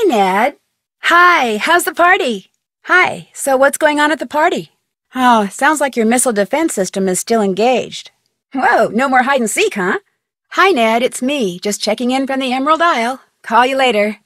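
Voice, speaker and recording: A friendly, inquisitive young American woman detective in an adventure game. Her voice sits a little high and is recorded dry, with no room around it. She delivers scripted dialogue in an earnest, conversational way with clear diction. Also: fairly bright tone, no room sound. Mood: chatty. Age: young adult. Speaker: player character of a detective game.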